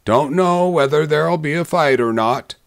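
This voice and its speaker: A man puts on a frontier saloon regular for a Western tale. The voice is raised and clear, grim and scornful, with folksy, laconic phrasing. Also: on the high side, normal speed, no echo, studio quality, voice pushed up. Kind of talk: storybook character voice in a Western tale.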